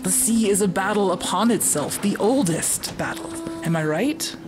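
A woman playing a wise, philosophical female minotaur warrior in a fantasy audio drama, speaking in a measured way. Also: mid-range voice, expressive, music in the background, close to an everyday voice. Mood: calm, questioning.

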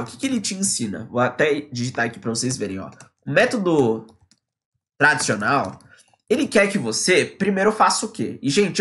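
A young man from Brazil teaching an online English lesson in Portuguese, talking energetically into a webcam in a small room. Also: fairly high pitch. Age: young adult. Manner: lively and energetic, quoting a student in acted lines. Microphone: webcam at close range.

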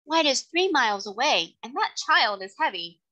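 A woman's voice: high voice, sing-song, normal speed, pushed and bright, pitched above the performer's own voice, heavily acted. A woman voicing a kindly, firm male cab driver in a storybook, plain-spoken and respectful. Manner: plain-spoken, respectful working-class address.

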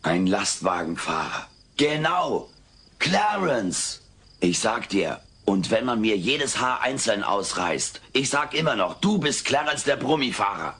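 An actor playing a stubborn, cheeky alien in a dubbed sitcom audio play, theatrical and full of wisecracks. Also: male voice, on the low side, very animated, unhurried, fairly bright tone, husky, full character voice. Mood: insistent. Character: cheeky, stubborn male alien, wisecracking pop-culture fan.

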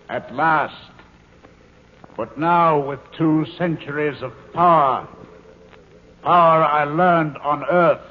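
An actor playing a commanding alien male ruler in a 1950s radio drama, slow and grave. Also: a little high. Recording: tinny old radio broadcast with hall echo.

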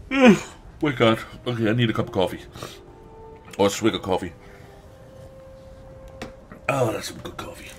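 A man's voice: lowish voice, very animated, measured pace, long pauses, faint music behind. A man doing casual gameplay commentary, muttering to himself in short bursts. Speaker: let's play streamer.

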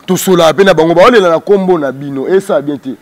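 A Congolese man from Kinshasa speaks very quickly in a fairly high voice, as a guest in a heated interview. He is emphatic and combative, often hammering a word several times over. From Democratic Republic of the Congo. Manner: emphatic, repeats words, combative. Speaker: interview guest, music group spokesman. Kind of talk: heated street interview in Lingala mixed with French. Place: outdoors on a porch. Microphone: handheld interviewer's microphone.

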